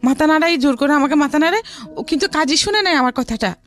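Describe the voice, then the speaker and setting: A woman's voice: sits high, expressive, fast talker, a bit bright, faint music behind. A woman with a Bangladeshi accent telling her personal story as an interview guest, often relaying what others said.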